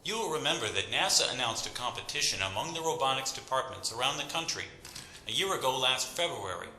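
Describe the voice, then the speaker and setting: Young presenter in echoing hall. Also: male voice, medium pitch, very animated, quick pace, sharp tone. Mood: nervous, proud. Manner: hesitant, wry public speaking. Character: young, awkward but proud robotics graduate student. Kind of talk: radio drama acting as an event speech. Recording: reverberant hall PA, faint noise.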